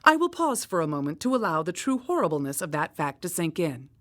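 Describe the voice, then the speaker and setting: An actress playing a bluntly sarcastic woman who delivers grim findings with clinical, deadpan irony in a radio drama. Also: fairly low pitch, fairly quick. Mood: clinical, sardonic.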